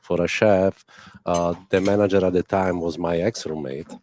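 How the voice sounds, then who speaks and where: A man from near Venice with an Italian accent, a restaurant chef talking in a casual interview, in halting non-native English. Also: sits low, fairly even intonation, a little mellow. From northeast Italy, near Venice.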